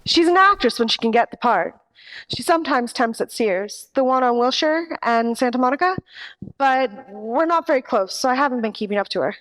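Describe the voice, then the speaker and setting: Woman acting a high-voiced, nervous noir dame. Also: animated, a little fast, a bit bright, rough recording. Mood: uneasy. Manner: hesitant, imploring. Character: nervous, pleading female nightclub singer, a femme fatale client. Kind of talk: 1940s radio noir parody acting.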